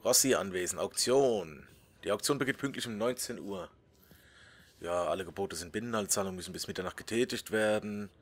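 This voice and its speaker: A man's voice: lowish voice, fairly quick. A man casually commenting on his gameplay, joking and muttering asides, sometimes dropping to a whisper while he reads. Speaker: let's play host.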